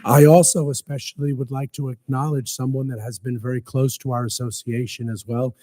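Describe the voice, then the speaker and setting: Hoarse man giving a ceremonial speech. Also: mid-range voice, dark tone, no echo. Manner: earnest, ceremonial, with light humor. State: hoarse from a cold. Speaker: guest speaker at veterans breakfast. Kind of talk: formal public speech. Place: city council chamber.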